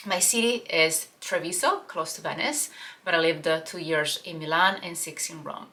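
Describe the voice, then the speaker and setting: A woman in her thirties with a clear Italian accent, talking openly to camera. Her voice sits slightly low for a woman but sounds bright and forceful. She pauses, restarts and reaches for English words, speaking with quiet conviction. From Italy. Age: 30s. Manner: earnest, open, with many fillers and restarts. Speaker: life and business coach. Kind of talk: personal story told to camera.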